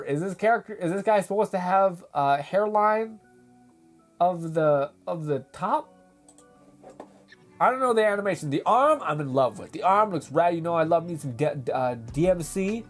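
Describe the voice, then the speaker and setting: A man's voice: sits high, animated, moderate pace, faint music behind. Young man streamer with a worn voice. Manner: rambling, laughing, reads chat aloud. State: voice going, dry throat. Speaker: livestream host. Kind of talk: casual livestream chat.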